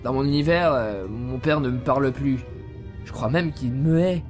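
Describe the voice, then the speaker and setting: A man's voice: mid-range voice, normal speed, a little mellow, faint music behind, close to an everyday voice. A young man playing a bewildered, easily flustered computer geek in an amateur audio drama. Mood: downcast.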